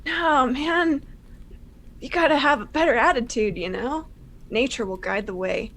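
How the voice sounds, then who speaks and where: A woman's voice: sits high, measured pace, fairly bright tone, a little hiss or hum. A woman voicing a laid-back, nature-loving hippie man who talks in surfer slang.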